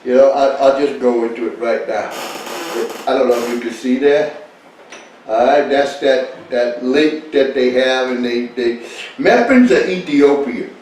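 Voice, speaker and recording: A man's voice: mid-range voice, measured pace, a little mellow. A man giving an informal, rambling talk to listeners, repeating phrases for emphasis with an easy chuckle. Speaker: speaker leading a talk. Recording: echoey, distant mic, faint noise.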